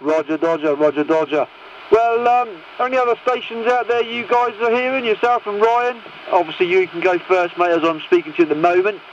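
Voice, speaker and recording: Man, high voice, CB radio operator, casual, noisy signal. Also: brisk, highs cut off. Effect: CB radio transmission. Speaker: CB radio operator running a check-in list. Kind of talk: casual radio net chat.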